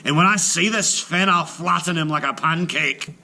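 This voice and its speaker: A man voicing a boastful, bullying male giant in a folktale read aloud, exclaiming loudly. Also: on the high side, normal speed, vocal fry, voice pushed up, heavily acted. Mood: boastful rage. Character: blustering, bullying male Irish giant, loud glutton.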